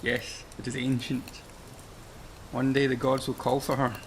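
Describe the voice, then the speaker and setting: A man playing a solemn, mournful figure in a radio play, speaking gravely and poetically with a gravelly, creaky voice. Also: medium pitch, little pitch movement, unhurried, a little hiss or hum, close to an everyday voice. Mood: grave, mystical. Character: solemn, mournful man recalling a dying ancient land.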